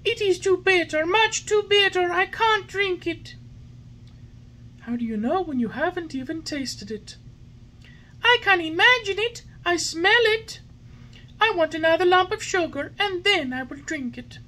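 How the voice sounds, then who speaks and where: A woman voicing a whiny, stubborn puppet boy in a high, shrill voice. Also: expressive, unhurried, full character voice, low-quality recording. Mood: whining. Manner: shrill, whining, loud.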